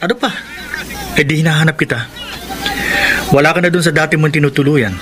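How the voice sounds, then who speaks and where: A man's voice: on the high side, a little fast, close to an everyday voice. A Filipino actor playing a menacing, insistent ex-lover back to claim his child in a radio drama. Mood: smug, taunting. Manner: threatening, insistent. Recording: noisy, busy crowd behind.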